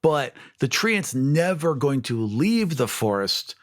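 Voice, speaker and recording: A man's voice: a little high, very animated, takes it slowly. Emphatic man in casual podcast chat. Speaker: podcast co-host. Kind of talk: casual chat about tabletop game monsters.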